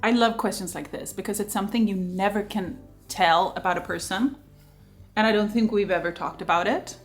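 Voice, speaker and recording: A woman's voice: mid-range voice, fairly quick, soft background music. Chatty Swedish woman hosting a Q&A. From Sweden. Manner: lively, chatty, laughs often. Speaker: vlogger host. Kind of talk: casual Q&A chat.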